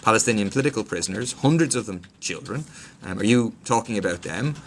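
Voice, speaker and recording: Irish man, lowish and bright, faint hiss; earnest livestream Q&A host reading comments. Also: animated, quick pace. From Ireland. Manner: reads comments aloud, frequent ums, earnest and persuasive. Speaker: politician answering viewer questions. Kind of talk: casual livestream Q&A.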